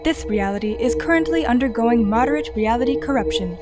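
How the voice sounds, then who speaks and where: A woman playing a calm, formal guide entity, speaking in measured, precise phrases in an audio drama. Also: a little high, over loud music, natural voice. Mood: calm, procedural. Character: calm, formal artificial guide assisting an explorer. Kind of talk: audio drama mission briefing.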